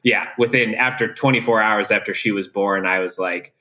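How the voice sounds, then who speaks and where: A young American man speaking as a guest in an interview, relaxed and candid, with many filler words. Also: fairly low pitch, normal speed. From USA. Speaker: guest, professional triathlete. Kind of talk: casual interview chat.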